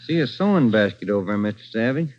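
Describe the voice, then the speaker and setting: An actor playing an easygoing police sergeant partner who talks casually, on an old radio drama recording. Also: male voice, lowish voice, sing-song, fast talker. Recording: dull old radio broadcast with noise.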